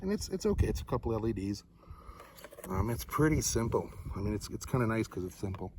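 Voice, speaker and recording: A man's voice: fairly low pitch, very animated, fairly quick, noisy background. A man giving a casual, unscripted product review to viewers in a laid-back, rambling, folksy way.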